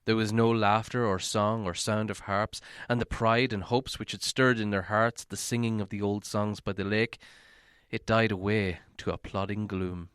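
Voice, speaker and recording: A man reading a story aloud as narration, in a measured, dramatic storytelling manner. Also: lowish voice, little pitch movement, fairly bright tone, smooth, no room sound, studio quality. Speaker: audiobook reader.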